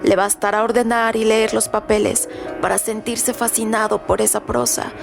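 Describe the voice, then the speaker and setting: A woman playing a frail, commanding old widow in a radio drama, speaking formally and tersely with a creaky voice. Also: a little high, level tone, moderate pace. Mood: imperious, businesslike. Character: frail, imperious old widow, cryptic and insistent. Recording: loud music underneath. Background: music.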